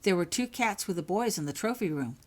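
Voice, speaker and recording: A woman's voice: on the low side, lively intonation, a little fast. Woman voicing an anxious, earnest wizard professor. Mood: concerned.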